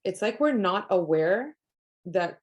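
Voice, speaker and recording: A woman's voice: medium pitch, expressive, takes it slowly. A woman from Canada whose first language is Polish, talking earnestly in a casual conversation and thinking aloud with many restarts.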